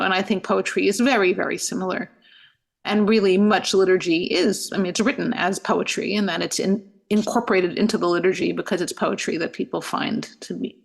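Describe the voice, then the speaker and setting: A middle-aged woman rabbi, a podcast guest who answers thoughtfully, often pausing with "you know" and restarting her sentences. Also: mid-range voice, gravelly. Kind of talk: reflective interview answers.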